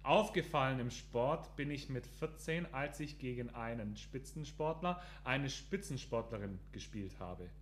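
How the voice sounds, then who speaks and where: A man hosting a casual podcast quiz, reading out clues in a steady, deliberate way. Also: mid-range voice, a little hiss or hum. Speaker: quiz host.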